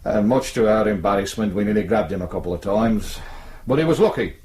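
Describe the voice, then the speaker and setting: A man's voice: on the low side, a little fast, a little mellow, lightly acted. Matter-of-fact British police sergeant played by a man. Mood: firm, dismissive. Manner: matter-of-fact, patronising, colloquial. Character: brisk, reassuring, world-weary male police detective sergeant. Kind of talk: radio drama acting.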